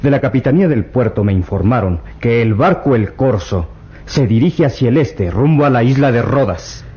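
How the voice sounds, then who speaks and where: An actor playing a courteous, helpful embassy official in a vintage radio drama. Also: male voice, medium pitch, expressive, moderate pace, a little mellow, close to an everyday voice. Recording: dull lo-fi old radio recording.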